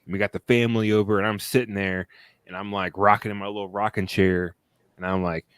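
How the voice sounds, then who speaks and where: A man hosting a casual film podcast, rambling and relaxed, often laughing between thoughts. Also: lowish voice, fast, clean tone, no echo, clean studio sound. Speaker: podcast host.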